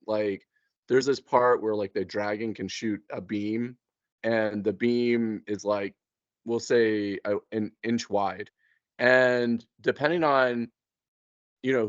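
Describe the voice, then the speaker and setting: Slow-paced man chatting casually. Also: on the low side, no echo, muffled recording. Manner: informal, halting, thinking aloud. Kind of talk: casual chat.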